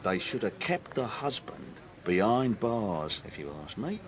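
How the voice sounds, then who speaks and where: A cocksure café waiter in a radio drama. His lowish voice swoops about dramatically as he gossips knowingly and offers opinions. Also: sing-song, measured pace. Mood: knowing, gossipy. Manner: chatty, knowing.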